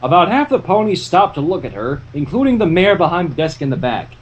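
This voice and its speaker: Husky, animated fan-fiction reader. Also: medium pitch, fairly quick, dull audio. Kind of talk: animated story narration with joking asides.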